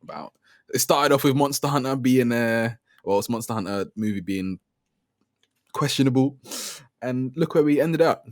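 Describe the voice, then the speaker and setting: A man's voice: mid-range voice, moderate pace, no room sound, studio quality. A man hosting a video call chat, laid-back and teasing. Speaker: host.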